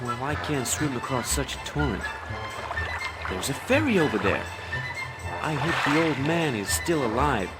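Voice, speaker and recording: A young actor playing a vain, boastful fairy-tale hero who talks in a brash, impatient way in a game. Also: male voice, medium pitch, sing-song, normal speed, long pauses, over loud music, natural voice, low-quality recording. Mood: arrogant. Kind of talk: game voice acting.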